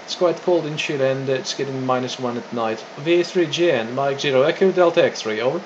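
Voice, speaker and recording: A man's voice: medium pitch, brisk. A man working as an amateur radio operator, exchanging call signs and signal details in a procedural, slightly hesitant way. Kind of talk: ham radio contact exchange. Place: indoors beside a radio set. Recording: dull lo-fi audio with faint noise.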